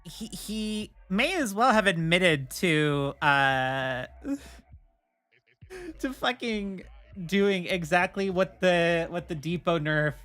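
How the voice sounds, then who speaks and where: A woman's voice: on the low side, animated, extremely slow, a bit bright. A woman streamer chatting casually and animatedly, swearing freely as she talks. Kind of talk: casual livestream chat.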